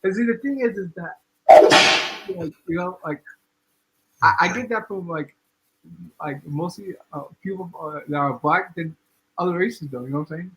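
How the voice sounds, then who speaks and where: A man's voice: high voice, normal speed, pauses often, fairly bright tone, a little hiss or hum, rough recording. A man from Ghana co-hosting a podcast, telling a story in a chatty way with constant checks on the listener. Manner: chatty, repeats "you know what I'm saying". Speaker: podcast co-host. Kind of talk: casual storytelling.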